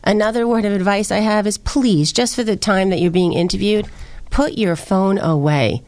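A woman's voice: mid-range voice, normal speed, a little mellow. A woman panel guest chatting casually and telling anecdotes in a blunt, playful way.

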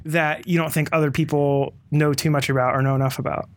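A man from the United States co-hosting a podcast, relaxed and casual with some swearing. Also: fairly high pitch, fairly quick, a little hiss or hum. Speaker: podcast co-host. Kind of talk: casual chat.

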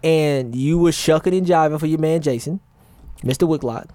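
A man co-hosting a casual podcast with friends, talking in lively, joking bursts and laughing often. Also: fairly high pitch, slight background noise. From southern United States. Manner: animated, loud, joking, quick bursts of laughter. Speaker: podcast co-host. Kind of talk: casual group chat.

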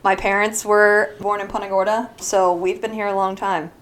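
A woman's voice: medium pitch, flat delivery, normal speed, a bit bright, low-quality recording. Brief: thirties woman from Florida, small business founder, friendly guest who laughs often. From Southwest Florida. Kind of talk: casual interview answers.

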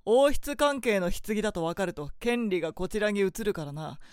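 A woman's voice: medium pitch, big pitch swings, moderate pace, darkish tone, clear, resonant tone, no room sound, lightly acted. A woman playing a haughty, short-tempered young prince in a radio drama, giving brusque, imperious orders. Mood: grudging explanation. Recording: studio drama with background music.

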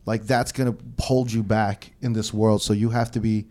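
A man's voice: lowish voice, little pitch movement, moderate pace, a little mellow. A man from New York, a podcast host, talking emphatically in a casual group chat and often restarting his sentences. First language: English.

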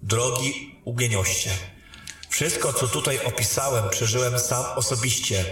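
A man's voice: medium pitch, unhurried, fairly bright tone, a little hiss or hum, natural voice. A man as an echoey, earnest young captain. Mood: earnest, defensive. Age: young adult. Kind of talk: fantasy audio drama acting. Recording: echoey hall, distant from microphone.

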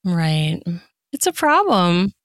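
A woman's voice: lowish voice, big pitch swings, takes it slowly, smooth, no echo, studio quality. A woman chatting casually and candidly as an interview guest, with an earnest way of making her points. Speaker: podcast guest, writer and podcaster.

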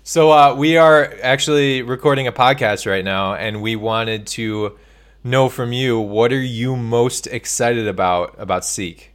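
A man's voice: medium pitch, steady tone, a little slow, a bit bright. A man who is a priest hosting a podcast, chatting casually with an enthusiastic, slangy, informal manner.

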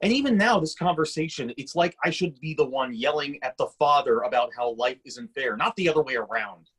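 Man acting a husky, resentful grown son. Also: mid-range voice, expressive, fairly quick, fairly bright tone. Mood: exasperated. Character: resentful grown son, exasperated, blunt and practical. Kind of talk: screenplay table-read acting.

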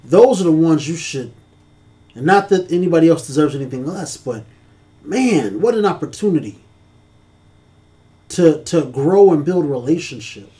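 A man's voice: fairly high pitch, expressive, normal speed, pauses often, mellow. A man co-hosting a casual church-talk podcast, speaking earnestly with a preacherly cadence.

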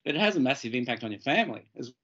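A man hosting an interview with a croaky voice from a head cold, hesitant and repeating words as he frames questions. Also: mid-range voice, very animated, rapid-fire, fairly bright tone, lo-fi sound. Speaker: interview host. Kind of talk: casual interview chat.

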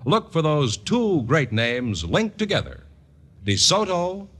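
A man's voice: a little high, very animated, slow, a bit bright, slightly rough. A man with an American accent announcing in an old-time broadcast style, as for a show or an ad.

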